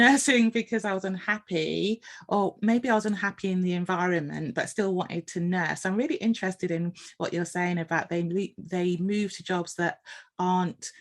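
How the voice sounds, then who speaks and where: An older woman, a nurse hosting a podcast, asking questions in a thoughtful, hesitant way, thinking aloud as she goes. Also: lowish voice. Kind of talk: conversational podcast interview.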